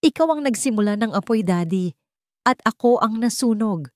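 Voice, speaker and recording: A woman's voice: a little high, animated, moderate pace, dry recording, pitched above the performer's own voice, lightly acted. A woman voicing a wounded, furious young daughter in a dramatic audio story, bitter and accusing. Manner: bitter, accusing, trembling with anger and grief.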